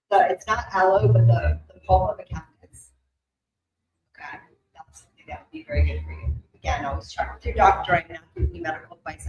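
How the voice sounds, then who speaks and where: A woman giving intuitive readings to a live audience, chatty and rambling, with many fillers and asides. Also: mid-range voice. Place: event room with an audience. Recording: echoey room.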